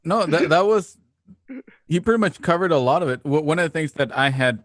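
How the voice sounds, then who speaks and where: A man telling stories casually as a cinematographer on a panel, explaining earnestly and a little haltingly. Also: a little high, animated, dry recording, studio quality. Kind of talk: casual storytelling. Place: at home on a group video call. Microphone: video call.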